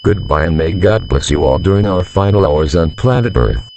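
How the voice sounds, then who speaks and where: Guy acting as grave presidential spokesman on emergency broadcast, really low voice, faint hum behind it. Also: animated, normal speed, darkish tone. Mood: solemn, resigned. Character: grave male presidential representative delivering a doomsday broadcast. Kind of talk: scripted emergency alert announcement. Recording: humming, faintly noisy audio.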